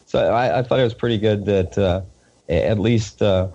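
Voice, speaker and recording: A man with a Southern US accent, a reporter being interviewed, talking in a halting way with many fillers and repeated words. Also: on the low side, dark tone, muffled recording. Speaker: independent news reporter, guest. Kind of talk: casual interview talk.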